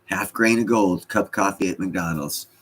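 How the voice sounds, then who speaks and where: A man's voice: low voice, moderate pace, fairly bright tone, husky. A man with a slight Scottish accent hosting a casual livestream, reading chat comments and joking with loose, profane banter.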